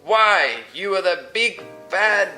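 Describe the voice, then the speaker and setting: An innocent little fairy-tale girl, acted out by a man reading aloud. The pitch jumps high and the tone is bright and piercing. Every line is an earnest, exaggerated exclamation, with music behind it. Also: lively intonation, slow pace, heavily acted. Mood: alarmed. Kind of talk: amateur bedtime storybook reading.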